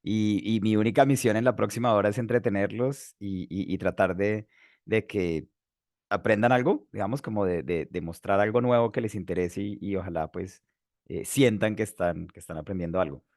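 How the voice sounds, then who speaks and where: A man's voice: on the low side, moderate pace, darkish tone, no echo, studio quality. A man in his forties from Bogotá with a Colombian accent, lecturing in a webinar, hesitant with many fillers and restarts. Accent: Bogotá Colombian Spanish. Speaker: webinar speaker, geotechnical engineer.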